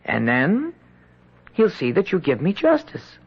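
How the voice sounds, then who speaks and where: A simple, stubborn young soldier lad from an old radio drama, speaking in a high, lively, folksy voice. He is naive, halting and utterly earnest. The sound is dull 1970s lo-fi radio. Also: gravelly, breathy edge, heavily acted. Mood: quietly certain.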